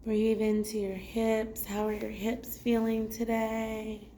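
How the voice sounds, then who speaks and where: A woman leading a guided body scan meditation as a teacher, giving gentle, unhurried instructions with long pauses. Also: fairly high pitch, completely flat delivery, slight background noise. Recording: roomy echo. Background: music.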